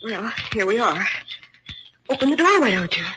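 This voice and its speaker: Plucky young woman, bossy, high lively voice, theatrical radio drama, hissy vintage recording. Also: normal speed. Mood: brave but nervous. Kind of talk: old-time American radio drama acting.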